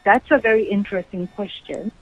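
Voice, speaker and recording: A woman's voice: medium pitch, a little fast. Firm, questioning woman on a phone call. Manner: questioning, firm, hesitant phrasing. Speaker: mother receiving a phone call. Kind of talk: phone conversation.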